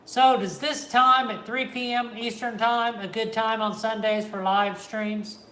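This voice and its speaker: A man from the Kansas, Oklahoma and Missouri area with a Midwestern American accent, hosting a relaxed livestream from home and answering viewers' chat. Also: high-pitched, measured pace, a little hiss or hum. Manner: laid-back, reads and answers live chat. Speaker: reptile channel host. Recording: echoey room.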